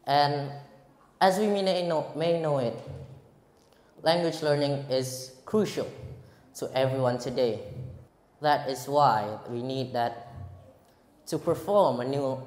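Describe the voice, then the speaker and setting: A teenage boy from Indonesia with an Indonesian accent, giving a prepared speech at a school contest, earnest and halting, often restarting words. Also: fairly high pitch. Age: teens. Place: large echoey hall. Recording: roomy hall with long reverb.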